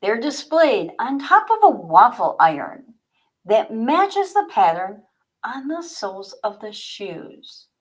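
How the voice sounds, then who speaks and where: Slow-paced woman with a very lively, high voice. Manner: chatty, informal, addressing viewers directly. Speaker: author and livestream host. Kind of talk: casual livestream chat, then reading own fiction aloud.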